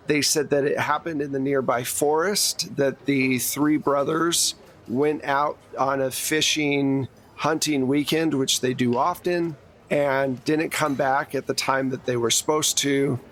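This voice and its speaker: A man's voice: a little high, a bit bright. A man running a tabletop game as game master, narrating casually and informally with joking asides. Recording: clear background noise.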